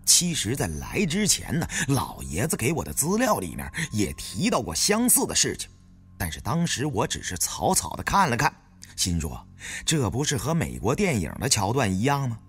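A man's voice: mid-range voice, wildly swooping pitch, moderate pace, fairly bright tone, husky. A man narrating an audiobook as a dramatic first-person storyteller.

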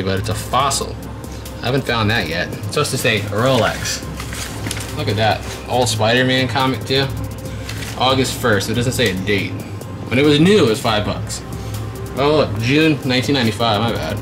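A man in his twenties giving rambling, casual commentary to viewers while digging through a storage unit. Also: mid-range voice. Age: 20s. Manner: chatty, rambling, talks to viewers. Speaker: treasure-hunting vlogger. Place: indoors in a metal storage unit. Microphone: handheld camera mic.

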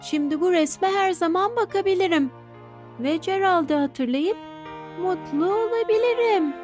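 Slow-talking, high-voiced little boy. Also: darkish tone. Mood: wistful, earnest. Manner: slow, simple, earnest childlike phrasing. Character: gentle, sensitive little preschool boy, often sad. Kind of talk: children's cartoon dubbing. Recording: studio dub over background music.

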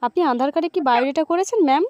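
A woman's voice: high-pitched, very fast, darkish tone, dry recording, lo-fi sound. A woman with a voice affected by sickness, speaking casually as a prank caller and vlogger. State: sick, voice gone bad. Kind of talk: casual prank call and chat to camera.

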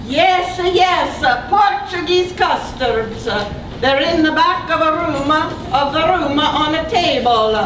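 A woman playing an excitable old Calabrian woman in a puppet show, pleading with many hesitations. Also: high-pitched, measured pace. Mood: eager. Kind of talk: amateur puppet show acting. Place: stage in a hall with an audience. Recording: echoey room from far off, crowd and noise.

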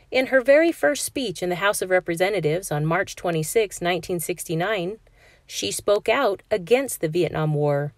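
A woman narrating a children's picture book aloud in a clear, measured storytelling manner for a classroom. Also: mid-range voice, no room sound, clean studio sound.

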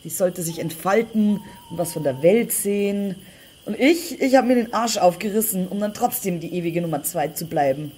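A woman's voice: medium pitch, expressive, normal speed, dark tone, natural voice. Bitter, scheming actress as a woman, noisy background. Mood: bitter resentment. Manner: resentful, contemptuous. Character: bitter, scheming older sister plotting against her sibling. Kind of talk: German crime audio drama acting.

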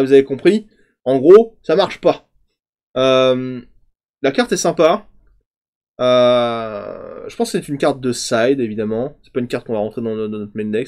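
A man in his thirties casually reviewing card previews on a stream, chatty and thinking aloud, often trailing off into quieter asides. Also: a little high. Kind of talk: casual game commentary. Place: home streaming room, indoors.

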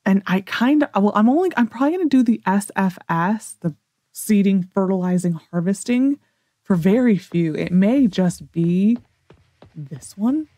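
A woman's voice: mid-range voice, expressive, unhurried, dark tone, no room sound. A woman with a General American accent giving casual gameplay commentary, rambling as she thinks aloud to herself. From United States. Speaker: gaming video host.

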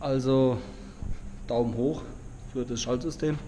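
Ein junger Mann aus Deutschland, der locker und begeistert mit Umgangssprache von seinem Roadtrip erzählt und dabei in eine Handkamera im Freien spricht. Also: male voice, medium pitch, a little slow. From Germany. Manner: excited, slangy, talks to camera outdoors. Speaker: travel vlogger. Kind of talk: casual travel vlog. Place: outdoors on a road trip in the mountains. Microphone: handheld camera. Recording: outdoor recording with clear wind and background noise.

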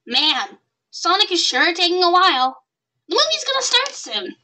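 A woman's voice: extremely high pitch, very animated, normal speed, bright, forceful tone, a little hiss or hum, exaggerated voice. A woman voicing an innocent, anxious young boy fox in a fan cartoon. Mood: impatient. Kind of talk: fan-film cartoon acting.

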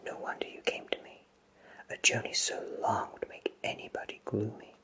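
A man whispering an ASMR roleplay close to the microphone as a courteous, cheerful potion shopkeeper, with genteel, theatrical phrasing and deliberate pauses. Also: pushed and bright. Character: cheerful, courteous, flowery-spoken potion shopkeeper in a fantasy town.

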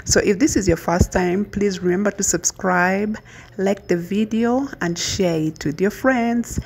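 A woman's voice: medium pitch, a little slow, vocal fry, a little mellow, a little hiss or hum. A woman hosting a home cooking video, casually talking through each step as she cooks in a kitchen. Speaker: cooking channel host.

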